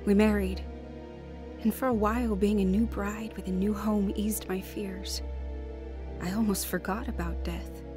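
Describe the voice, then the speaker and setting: An actress playing an anxious, earnest young wife terrified of death, in a radio drama. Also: female voice, mid-range voice, steady tone, measured pace, music in the background, close to an everyday voice. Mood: brooding. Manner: earnest, fretful. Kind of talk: radio drama acting and narration.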